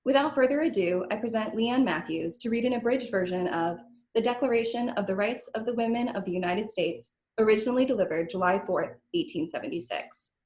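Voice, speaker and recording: A woman's voice: mid-range voice, a little fast, darkish tone. A woman giving a scripted historical introduction as a curator presenting a reading. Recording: roomy, echoing sound.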